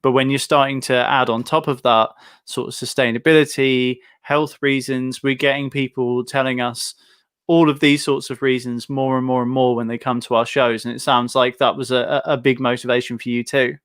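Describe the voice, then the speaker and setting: A man's voice: medium pitch, little pitch movement, normal speed, a bit bright, clean tone, dry recording, studio quality. A young man hosting a casual video-call interview, enthusiastic and chatty, with many fillers and restarts. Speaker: host.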